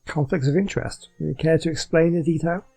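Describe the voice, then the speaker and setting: A man's voice: fairly high pitch, fairly even intonation, fast talker, vocal fry, music in the background. A man hosting a game playthrough, commenting casually in a laid-back, musing way and reading some dialogue aloud. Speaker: gaming video host.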